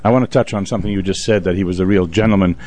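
A man's voice: deep voice, fairly even intonation, racing, dark tone. A man hosting a radio show in a conversational style, speaking as a stage performer would between pieces.

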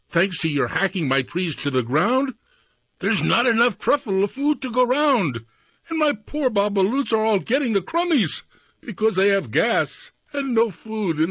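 A man voicing a small, cross old male forest creature who scolds in croaky, sing-song rhymes for a storybook reading. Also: sits high, fairly bright tone, no echo, lo-fi sound, voice pushed up, full character voice.

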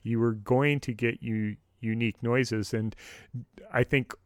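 A middle-aged man talks as a podcast co-host in a casual discussion. He explains things in measured detail, with a mid-range voice and very little room sound. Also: medium pitch, steady tone, no echo, studio quality. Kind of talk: casual discussion of a radio drama.

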